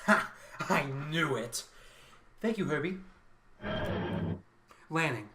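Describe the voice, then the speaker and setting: Man playing an ambitious, high-pitched scientist. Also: sing-song, slow pace, noisy background. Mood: triumphant, combative. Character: ambitious, resentful male mathematician scientist eager for promotion. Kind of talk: radio play acting.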